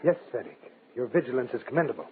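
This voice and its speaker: An actor plays an irritable, probing communist comrade, a man who talks fast in a curt, needling way. It is 1950s radio drama acting, heard through a very thin, tinny old recording. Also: medium pitch, expressive, a little hiss or hum, close to an everyday voice. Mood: suspicious, probing. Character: suspicious, irritable male communist party comrade, probing and officious.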